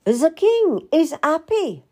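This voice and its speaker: A woman's voice: high voice, sing-song, slow, dark tone, voice pushed up, exaggerated voice. A woman storyteller voicing a proud, bitter, wronged queen who speaks imperiously and spits out her words with emphasis. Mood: suspicious.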